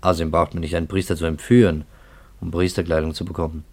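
An actor playing a skeptical, coolly ironic investigator in a 1950s German radio play, speaking in a measured, pointed way. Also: male voice, sits low, flat delivery. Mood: matter-of-fact.

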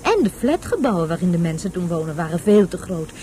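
Mellow, animated woman tutor. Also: on the low side, fast talker, slight background noise. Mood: instructive. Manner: didactic, storytelling to a child. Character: patient, explaining woman tutor of a young boy. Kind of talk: Dutch radio play acting.

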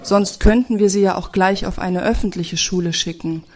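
A woman playing a sociable, gently teasing commune member in an audio drama. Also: medium pitch, moderate pace, a little hiss or hum, close to an everyday voice. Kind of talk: German audio drama dialogue.

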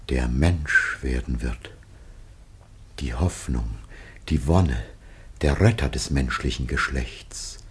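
An actor playing a solemn, dying aged father in a classic German radio drama, husky and declamatory. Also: very deep voice, heavily acted.

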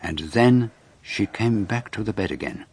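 An actor playing a courteous, shrewd gentleman detective with a French-speaking Belgian accent, precise and formal, in a radio drama. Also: fairly low pitch, animated, a little slow, breathy edge. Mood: measured, sly. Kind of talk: radio drama narration and dialogue.

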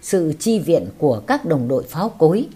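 A woman with a husky voice reading a war memoir as scripted audiobook narration. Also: sits low, big pitch swings, moderate pace, warm tone.

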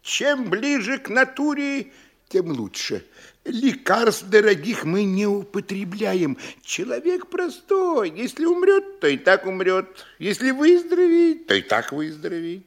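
Brief: a fawning provincial official, high and sing-song, sly. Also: male voice, sing-song, unhurried, exaggerated voice. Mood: ingratiating. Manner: ingratiating, sly. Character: fawning male hospital trustee, sly and ingratiating. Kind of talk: studio radio play acting.

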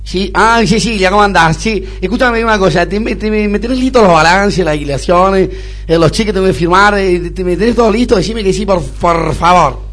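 A man's voice: sits high, a little fast, a bit bright, soft background music. A man playing a spoiled, snobbish young Argentine businessman in a radio comedy-drama, whining, stammering and repeating himself. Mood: exasperated, whiny. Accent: Argentine (Rioplatense).

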